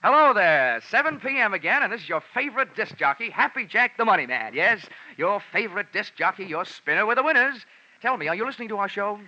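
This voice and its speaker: A slick, exuberant American disc jockey put on by a male actor pours out salesy prize-show patter. His voice rides high and gushing, on a dull vintage radio recording. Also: very animated, fast, pushed and bright, heavily acted.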